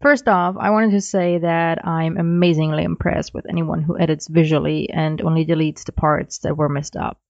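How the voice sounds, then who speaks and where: A woman's voice: low voice, fairly even intonation, normal speed, creaky, few pauses, no room sound, muffled recording. A woman who is a non-native English speaker, giving informal recorded listener feedback. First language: not English. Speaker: listener sending in audio feedback.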